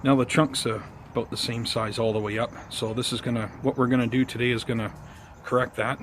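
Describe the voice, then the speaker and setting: A man hosting a casual gardening vlog outdoors, talking informally to viewers in an unhurried, hesitant way. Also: mid-range voice, level tone, a little hiss or hum. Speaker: host of a bonsai gardening vlog.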